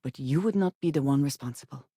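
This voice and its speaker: A guarded, devoted spacefaring woman from a video game. She speaks formally and carefully, with precise, measured diction and an earnest seriousness. The recording is dry and close. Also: a bass voice, mellow, breathy edge, no room sound. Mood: cool, serious. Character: guarded, earnest spacefaring woman, devout and fiercely loyal companion. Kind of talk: video game companion voice lines.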